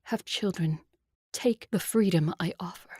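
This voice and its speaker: An actress playing a proud, seductive vampire ruler in an audio drama, with a cool, controlled, imperious manner. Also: female voice, on the low side, unhurried, a little mellow, slightly rough, no echo, clean studio sound. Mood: stern, pleading. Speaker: voice actor.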